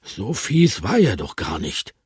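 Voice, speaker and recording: A man voicing a gentle, wistful male pet hamster who speaks with a lisp, as in a storybook read aloud. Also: fairly low pitch, huge pitch swings, unhurried, breathy edge, no echo, studio quality, pitched above the performer's own voice, exaggerated voice. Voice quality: lisping.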